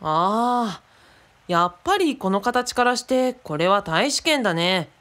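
A woman plays a friendly adult companion in a radio drama, with a medium-pitched, animated voice. The character casually explains things to a child, often thinking aloud in a conversational way. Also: a little slow.

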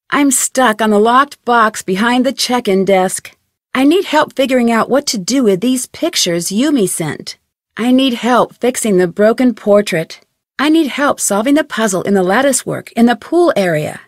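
An actress playing a curious, level-headed young female detective in an adventure game, speaking in an earnest, composed, clearly enunciated way. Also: on the high side, expressive, normal speed, no echo, studio quality, close to an everyday voice. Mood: friendly, matter-of-fact. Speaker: voice actor, game protagonist. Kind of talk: scripted adventure game dialogue.

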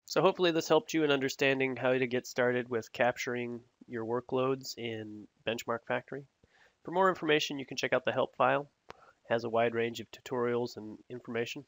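A man giving a step-by-step software tutorial over a screen recording, steady and explanatory, with frequent "uh" fillers. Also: mid-range voice, a little fast, no echo. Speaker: product technologist giving a software demo.

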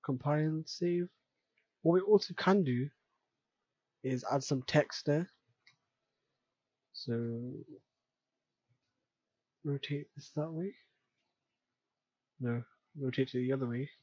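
A man talks through a tutorial in a mellow, slightly husky voice, casually thinking aloud. The recording is dry and somewhat dull, as from a close mic. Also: a little high, slow, long pauses, no room sound. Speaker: tutorial presenter. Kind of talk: unscripted screen-recorded software tutorial narration.

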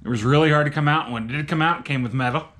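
A man's voice: on the high side, very fast, a bit bright. A middle-aged man chatting casually as a vlogger, plain-spoken and laid-back. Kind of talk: casual product review chat. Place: cluttered home garage. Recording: roomy garage echo, background noise.

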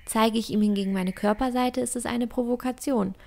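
Woman playing a creaky-voiced, bookish young girl. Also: a little high, fairly even intonation, brisk, a little mellow. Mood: earnest. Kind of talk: German fantasy audio drama acting.

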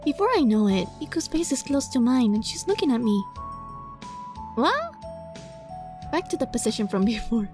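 A woman reading a visual novel aloud as a let's play, dramatic and playful, in a giggly, flustered, excitable manner. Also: on the high side, normal speed, pauses often. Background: music.

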